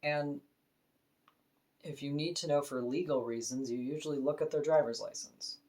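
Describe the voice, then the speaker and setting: A woman with a deep voice, talking frankly and casually to camera in an opinion vlog, now and then laughing softly. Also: normal speed. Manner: frank, conversational, with soft laughs. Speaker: vlogger.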